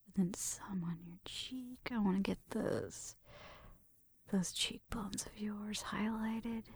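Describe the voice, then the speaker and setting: A woman performing a gentle, intimate ASMR roleplay, softly whispering and murmuring close to the mic as she narrates each step. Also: medium pitch, takes it slowly, no echo. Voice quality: soft, breathy, often whispering.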